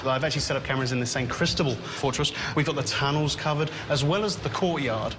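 A man's voice: medium pitch, quick pace. Man briefing a team over music. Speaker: paranormal investigator, tech briefing the team.

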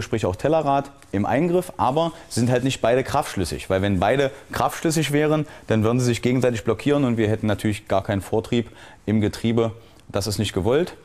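A man giving an explanatory technical walkthrough as an instructor, often halting to search for the right term. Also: medium pitch, darkish tone.

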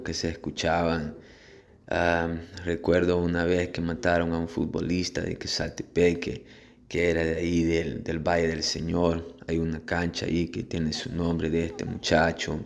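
A man in his forties from El Salvador with a Salvadoran accent, talking reflectively in a casual vlog monologue outdoors, often ending thoughts with a question tag. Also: sits low. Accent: Salvadoran Spanish. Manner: reflective, conversational, tags sentences with questions. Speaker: vlogger. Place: outdoors, alone.

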